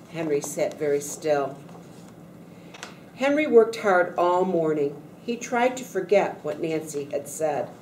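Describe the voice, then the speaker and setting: Woman, lowish warm voice, storybook read-aloud for children, echoey room. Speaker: school staff member reading a story. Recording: distant mic in echoey room, faint noise.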